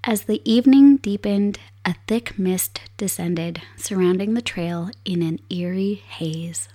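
A woman hosting a podcast, her voice raspy and hoarse from a cold, chatting casually and reading a story aloud in a soft, unhurried way from her desk at home. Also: lowish voice. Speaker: podcast host. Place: home desk set up for streaming.

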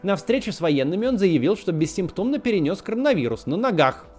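An animated man in his thirties delivers scripted political commentary with a lively, swinging pitch. His tone turns ironic and sardonic in the asides, and faint music plays underneath. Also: fast talker. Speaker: political video host.

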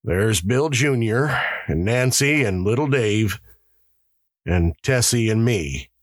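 A resigned, plain-spoken husband as a narrator reads him in an audiobook story. He is subdued and regretful, speaking in short plain phrases at a middling pitch. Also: expressive, slow, dry recording, studio quality. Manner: quiet, regretful, terse.

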